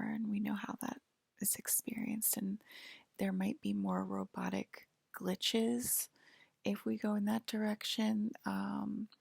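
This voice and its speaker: Woman doing an alien lady asmr exam, super creaky breathy whisper, slow and halting, soft clinical vibe, really dry close sound. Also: medium pitch, no echo, exaggerated voice. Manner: halting, hesitant, gently official. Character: soft, clinical female alien abductor examining a human.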